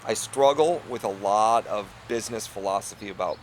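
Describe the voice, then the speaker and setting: A middle-aged man, co-owner of an inn, talking earnestly in a casual conversation, laughing often and letting sentences trail off quietly. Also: fairly low pitch, moderate pace, a little hiss or hum.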